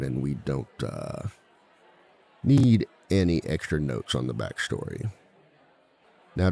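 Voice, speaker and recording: A man playing a reformed villain who lectures at a seminar on romance in a measured, articulate, formal and dryly self-assured way. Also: very deep voice, creaky, warm tone, heavily acted. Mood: composed, instructive. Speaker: seminar speaker and relationship expert. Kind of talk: scripted comedic lecture roleplay.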